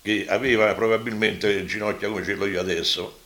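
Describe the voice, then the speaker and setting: An elderly man in his eighties with a Roman accent, recounting his memories as a witness, vividly but with halting repetitions. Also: on the low side, steady tone, fairly bright tone, echoey room. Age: 80s. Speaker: survivor giving testimony. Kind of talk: spoken reminiscence.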